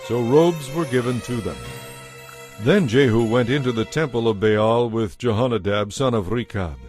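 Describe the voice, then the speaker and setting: Man, mid-pitched voice, scripted scripture narration, audio drama storyteller, faint music behind. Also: normal speed, darkish tone.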